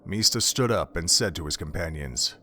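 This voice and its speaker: A man narrating an audio drama in a measured, literary storytelling style. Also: low-pitched, expressive, pushed and bright, slight background noise. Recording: background music under the voice.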